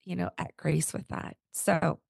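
A woman hosting a podcast, her voice hoarse from a cold, talking in a gentle, unhurried, encouraging way. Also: deep voice, level tone, dry recording, clean studio sound. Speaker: podcast host. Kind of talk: reflective podcast talk.

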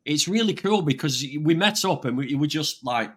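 A man's voice: on the high side, expressive, a little fast, fairly bright tone, slightly rough. An older man hosting a casual livestream chat over a video call, rambling with frequent restarts. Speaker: online vegan channel host.

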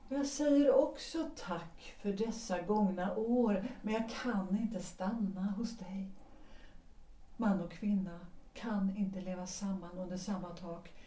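An actress voicing Gertrud, a tired wife leaving her husband, speaking quietly and with resignation in an audio drama. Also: mid-range voice, far from the mic, lightly acted. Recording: roomy, off-mic.

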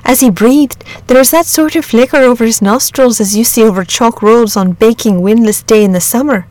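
A woman's voice: on the high side, a little fast, a little mellow, dry recording, voice pushed up, close to an everyday voice. A woman voicing a frightened, grumbling rustic shepherd from a storybook, agitated and emphatic in country dialect. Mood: nervous, bewildered. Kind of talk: storybook character reading.

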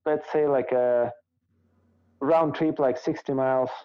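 A man's voice: mid-range voice, steady tone, clean tone, dry recording. Hesitant man with Russian accent, dull dry audio. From Kazakhstan. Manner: hesitant, halting English with fillers. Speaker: guest. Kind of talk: casual interview chat.

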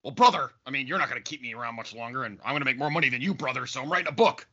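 A man playing a swaggering, self-important muscleman wrestler with a gravelly, raspy voice in a comic audio play. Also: medium pitch, rapid-fire, sharp tone, muffled recording. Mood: cocky.

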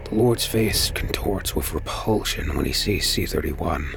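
A man playing a wary pirate who narrates his own tale in a science fiction audio drama, measured and brooding. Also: a bass voice, lively intonation, creaky, over music, heavily acted. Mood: grave. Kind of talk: scripted first-person narration in audio drama.

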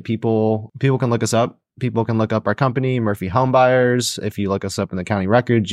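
Monotone low-voiced podcast co-host. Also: male voice, no echo, clean studio sound. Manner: monotone, steady. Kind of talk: casual podcast chat.

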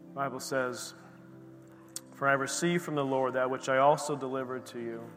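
A man officiating communion, reading scripture quietly and reverently in a church. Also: mid-range voice, fairly even intonation, moderate pace. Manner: quiet, reverent murmurs of assent. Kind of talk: scripture reading and prayerful affirmations. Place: church sanctuary. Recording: long reverb, faint music behind.

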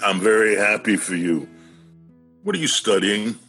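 A man's voice: on the low side, lively intonation, normal speed, a bit bright, faint music behind. An actor playing an eccentric, philosophical man who is cheerfully weird, in a whimsical, musing radio play manner. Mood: casual, teasing. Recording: home-recorded virtual radio play.

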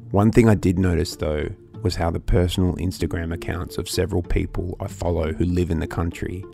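Deep gravelly male podcast narrator. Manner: measured, earnest delivery. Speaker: podcast host. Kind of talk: scripted narration. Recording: music bed underneath.

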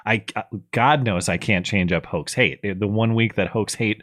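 A man hosting a call-in talk show, rambling and enthusiastic as he thinks out loud with his caller. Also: fairly low pitch, normal speed. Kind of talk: casual call-in chat.

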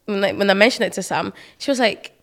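A woman's voice: mid-range voice, fast. A young woman co-hosting a podcast, playful and teasing in a casual chat. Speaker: podcast co-host.

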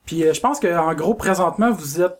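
A man's voice: sits high, brisk. Male co-host, Quebec French accent, conversational delivery, slightly noisy recording. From Lac-Saint-Jean, Quebec. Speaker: podcast co-host. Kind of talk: casual chat.

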